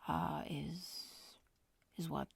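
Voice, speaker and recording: Slow, breathy whispering young woman, ASMR. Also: low-pitched, fairly even intonation, no room sound. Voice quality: breathy, soft whisper with some fry. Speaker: ASMR video creator. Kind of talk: ASMR, casual whispered chat.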